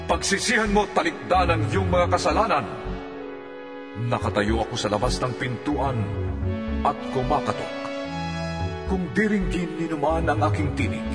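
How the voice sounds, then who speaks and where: A Filipino man playing a solemn, commanding divine lord in a scripture audio drama, speaking in a measured, declamatory way. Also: medium pitch. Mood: stern rebuke, then solemn invitation. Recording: echoey long reverb under loud music.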